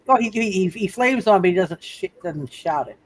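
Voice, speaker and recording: A man running a tabletop roleplay game as game master, talking casually in a halting way and often repeating words. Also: sits high, animated, slightly rough.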